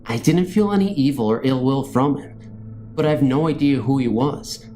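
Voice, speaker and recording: Mellow male storyteller reading over music. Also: medium pitch, moderate pace. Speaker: narrator and host of a scary story channel. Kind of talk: scripted storytelling narration.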